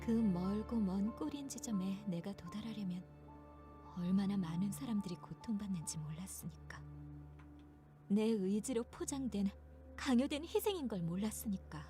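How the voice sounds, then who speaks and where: An actress playing a gentle, despairing young woman in a visual novel, with a trembling voice that sounds near tears. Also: medium pitch, expressive, racing, faint music behind, natural voice. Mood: sorrowful, disillusioned. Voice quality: trembling, breathy at phrase ends. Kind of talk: dramatic visual novel voice acting.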